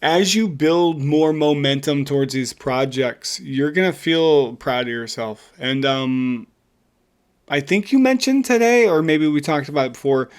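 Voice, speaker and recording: Encouraging man with a slightly high voice. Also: a little slow, clean tone. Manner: encouraging, informal, says "dude" often. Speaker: podcast co-host. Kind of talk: casual chat.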